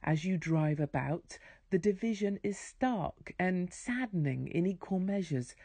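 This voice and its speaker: A woman's voice: lowish voice, mellow, no echo. A woman narrating a scripted documentary as its reporter.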